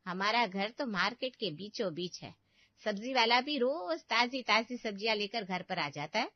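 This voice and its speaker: A woman's voice: medium pitch, lively intonation, moderate pace, no echo, highs cut off, close to an everyday voice. A woman voicing a meddling older aunt in a family cartoon, complaining and lecturing with exaggerated sympathy. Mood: nagging. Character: meddling older aunt, falsely pitying, nagging and fault-finding. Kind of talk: Hindi family cartoon story voice acting.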